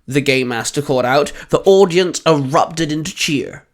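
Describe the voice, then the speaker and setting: Man, story narrator and host, fairly high voice, scripted reading. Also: normal speed. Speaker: host and narrator of fan fiction audio stories.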